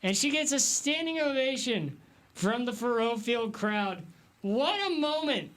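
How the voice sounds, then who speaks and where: A man's voice: extremely high pitch, lively intonation, a little slow, fairly bright tone. A man working as a sports reporter and play-by-play announcer, with an emphatic broadcast delivery.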